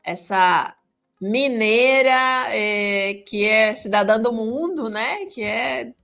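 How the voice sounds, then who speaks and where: Slow-talking woman co-host with faint music. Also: fairly high pitch, creaky, a bit bright. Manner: unhurried, drawn-out questions. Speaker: radio show co-host. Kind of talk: radio interview hosting.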